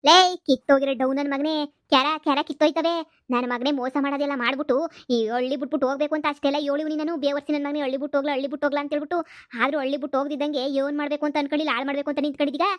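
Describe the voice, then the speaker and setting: A woman playing a brash, quick-tempered village mother who scolds in rapid-fire bursts. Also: high voice, level tone, no echo, studio quality, close to an everyday voice. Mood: scolding. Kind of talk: comedy sketch acting.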